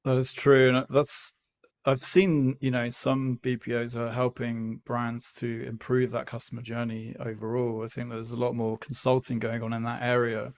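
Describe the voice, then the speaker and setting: A man speaking as a podcast guest, explaining his views in a measured, deliberate, conversational way. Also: mid-range voice, flat delivery. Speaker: podcast guest, newsletter founder. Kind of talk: conversational interview.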